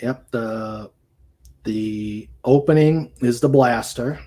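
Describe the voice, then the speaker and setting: Halting, slow-talking man on a livestream. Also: mid-range voice, dark tone. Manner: halting, searching for words. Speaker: co-host of a livestream show. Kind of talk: casual livestream chat.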